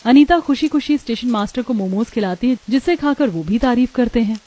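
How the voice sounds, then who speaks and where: Woman doing story narration, very mellow tone, scripted. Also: a little high, lively intonation, brisk.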